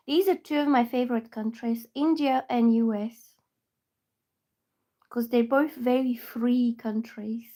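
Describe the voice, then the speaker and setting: A woman's voice: on the high side, dark tone. A woman from Bulgaria, freshly woken up, chatting casually with viewers on a tarot livestream through her phone. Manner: chatty and meandering, reads viewer names aloud. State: just woken up. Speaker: tarot reader.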